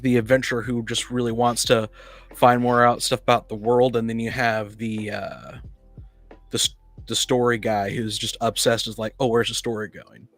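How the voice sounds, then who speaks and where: A man speaking haltingly as he discusses tabletop games on a podcast, often repeating and rephrasing himself. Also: medium pitch. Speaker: podcast panelist.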